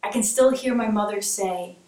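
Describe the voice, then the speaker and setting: A woman performing spoken word poetry in a rhythmic, rhyming delivery that sometimes sinks to a hush. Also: mid-range voice, normal speed. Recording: echoey room from far off.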